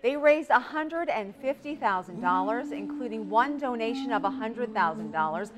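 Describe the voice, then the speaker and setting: A woman's voice: on the high side, lively intonation, normal speed, background noise. American woman anchoring local TV news. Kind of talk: scripted news reading.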